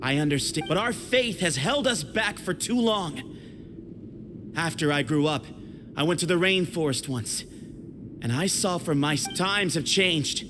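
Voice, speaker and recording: An actor playing a bold, earnest young desert warrior in a video game, speaking in a dramatic, measured way. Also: male voice, high voice. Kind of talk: video game character acting. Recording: clear background noise.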